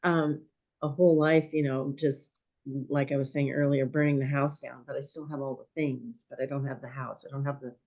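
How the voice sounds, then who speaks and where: A woman in her sixties, a guest in a conversation, speaking thoughtfully and hesitantly with many pauses while her voice is going hoarse. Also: very deep voice, level tone, a little mellow, muffled recording. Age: 60s. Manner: thoughtful, hesitant with frequent ums. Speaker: podcast guest. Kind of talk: reflective conversation.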